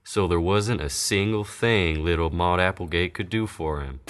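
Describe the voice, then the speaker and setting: Deep-voiced folksy storyteller played by a man. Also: normal speed, music in the background, close to an everyday voice. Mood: folksy, dramatic. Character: folksy Old West storyteller, unhurried male townsman. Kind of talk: scripted narration of a Western folk tale.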